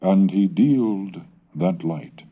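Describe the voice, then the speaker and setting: A man giving a formal, measured scholarly reading, reciting old verses slowly and carefully, on a dull 1970s cassette recording. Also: sits low. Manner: measured, deliberate, slows and lifts for the old tongue. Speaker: scholarly reader.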